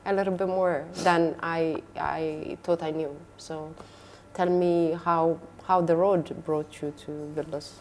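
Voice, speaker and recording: A woman hosting a casual interview, warmly encouraging her guest to talk. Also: low voice, steady tone, measured pace, hardly any pauses, slight background noise. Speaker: host.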